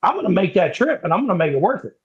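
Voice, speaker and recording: Eager male guest, husky and raspy, high voice, quick bursts of agreement. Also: sing-song, muffled recording. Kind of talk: casual livestream chat.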